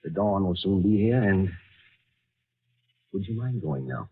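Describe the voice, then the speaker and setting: Low, husky, weary gentleman boss. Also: animated, heavily acted. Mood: gently insistent. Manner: halting, gently insistent. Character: courteous, weary, secretive businessman boss. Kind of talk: old-time American radio drama acting. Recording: muffled, tinny old radio recording.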